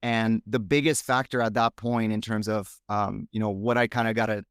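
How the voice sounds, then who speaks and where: A man born in Romania, speaking English as a later language, talks frankly and reflectively as a podcast guest. His voice sits fairly low, and the sound is dry and close with little room in it. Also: fairly even intonation, moderate pace, a bit bright, clean tone, dry recording. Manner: frank, reflective, thinks aloud. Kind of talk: casual interview chat.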